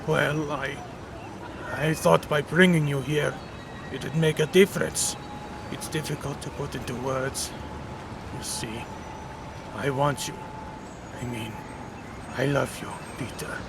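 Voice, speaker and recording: A man voicing a melancholy, lovelorn villain in a comic dub, wistful and halting, on a noisy home recording. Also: medium pitch, steady tone, creaky. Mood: hesitant, tender. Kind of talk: comic dub dramatic acting.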